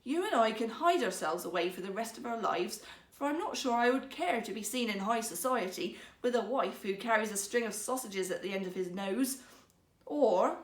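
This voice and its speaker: A woman's voice: a little high, lively intonation, moderate pace, natural voice. A woman voicing a poor woodcutter husband in a fairytale, earnest, emphatic, teasing and easily riled. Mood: rueful, teasing. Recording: roomy, echoing sound.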